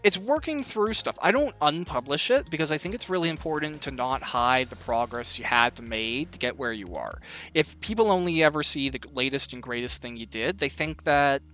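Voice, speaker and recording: A man's voice: on the high side, expressive, moderate pace, bright, forceful tone. A man hosting a casual interview, talking in an encouraging and reflective way. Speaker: interview host and author.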